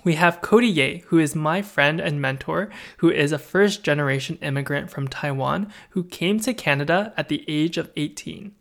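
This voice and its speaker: A man's voice: fairly high pitch, normal speed, gravelly, few pauses, fairly bright tone. A man hosting a podcast interview, upbeat and eager as he introduces his guest and asks questions. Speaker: podcast host.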